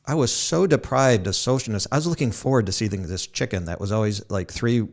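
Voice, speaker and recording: A man's voice: fairly low pitch, brisk, vocal fry. A man hosting a podcast, telling self-deprecating stories and laughing at his own jokes. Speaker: podcast host. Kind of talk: casual podcast conversation.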